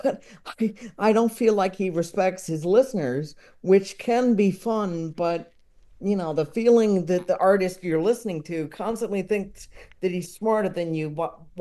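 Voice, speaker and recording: Mellow-voiced woman, halting casual talker. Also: fairly low pitch. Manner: halting, searching for words. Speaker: podcast guest. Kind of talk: casual chat.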